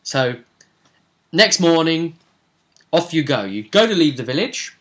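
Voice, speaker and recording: A man's voice: a little high, very animated, measured pace, fairly bright tone. A man acting as game master, chattily recapping a tabletop role-playing session in an informal, rambling way. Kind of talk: casual conversational recap.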